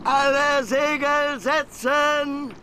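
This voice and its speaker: An actor playing a gruff, frank ship's captain who barks orders in an audio drama. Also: male voice, very high voice, steady tone, extremely slow, full character voice. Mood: commanding. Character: gruff male ship's captain, frank, hot-tempered seaman. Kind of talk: German audio drama acting. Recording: loud sea and boat noise behind.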